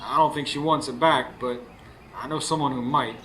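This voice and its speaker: A man's voice: medium pitch, steady tone, normal speed, pushed and bright, natural voice. An actor playing an earnest, weary young stable hand who narrates his own story in a radio drama. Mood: reflective. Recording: live stage recording, faint background noise.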